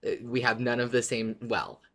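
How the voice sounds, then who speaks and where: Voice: mid-range voice, little pitch movement, normal speed. A podcast co-host chatting casually with wry, agreeable banter. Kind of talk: casual chat.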